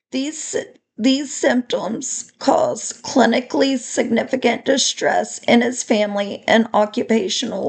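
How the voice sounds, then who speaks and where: A woman with a raspy voice from a recent illness, slowly reading through a clinical case study presentation with careful small restarts. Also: a little high, darkish tone, poor audio.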